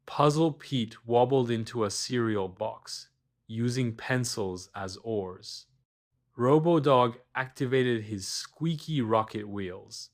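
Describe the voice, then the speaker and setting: A man narrating a scripted children's bedtime story, expressive and deliberate, with dramatic pauses. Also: medium pitch.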